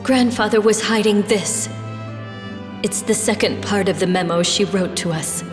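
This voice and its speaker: Casting: actress as young fantasy game princess, earnest and sorrowful, unhurried delivery, roomy echo. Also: female voice, medium pitch, close to an everyday voice. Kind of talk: scripted video game voice acting. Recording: roomy long reverb with music.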